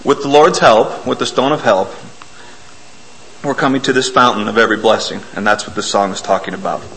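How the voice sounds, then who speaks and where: A man preaching an informal, self-deprecating sermon in a church, hoarse from a bug and often clearing his throat. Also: fairly low pitch, steady tone, fairly quick, fairly bright tone, low-quality recording. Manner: folksy, self-deprecating, explains hymn words. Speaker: lay preacher.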